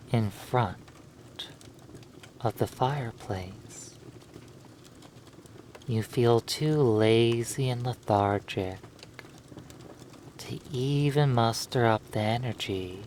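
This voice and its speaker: A man narrating a hypnosis roleplay in a soothing, lulling manner, leaving long pauses between phrases. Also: medium pitch, darkish tone, slight background noise.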